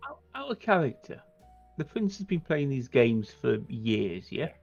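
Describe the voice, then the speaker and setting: A man plays a tabletop roleplaying game in a casual way. His mid-pitched voice has a lot of creak. The sound is a little dull, with music faintly behind. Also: sing-song, measured pace.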